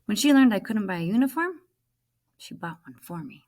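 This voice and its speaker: A woman reads a children's story aloud like a teacher to a class. Her pitch is average, and she narrates expressively, leaning on key words. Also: expressive, brisk, a little mellow. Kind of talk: children's book read-aloud, scripted first-person narration.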